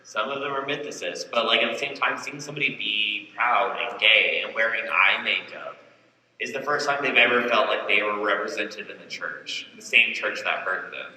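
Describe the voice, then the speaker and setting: A man's voice: fairly low pitch, moderate pace, bright, forceful tone, far from the mic. A man speaking candidly as a panelist, joking and rambling, recorded from afar in a convention hall. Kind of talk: panel discussion. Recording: echoey hall, mic from afar.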